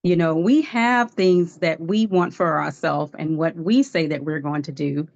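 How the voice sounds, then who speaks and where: A woman in her fifties with a low voice tells her story as a guest, earnest and testifying, now and then laughing at herself. The sound is dry and a little dull, like a lo-fi online call. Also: a little slow, gravelly, a little mellow, dry recording. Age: 50s. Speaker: guest life coach. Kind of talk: livestream testimony.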